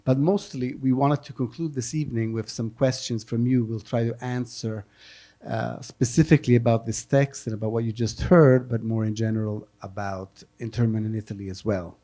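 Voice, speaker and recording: A man hosting and interpreting at an event, speaking off the cuff in a halting, hesitant way. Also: medium pitch, fairly even intonation, warm tone. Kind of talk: unscripted event talk.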